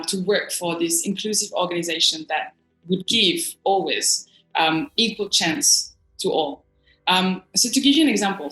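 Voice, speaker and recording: A woman's voice: fairly low pitch, bright, forceful tone, reverberant, poor audio. A woman from northern France with a French accent, giving earnest, reflective answers as an interview guest. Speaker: interview guest, design agency executive.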